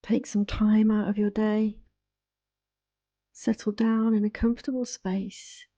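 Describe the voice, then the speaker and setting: A woman guiding a yoga nidra relaxation in a soothing, hushed, unhurried manner, close to the microphone. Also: mid-range voice, level tone, dry recording, highs cut off. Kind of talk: guided relaxation meditation.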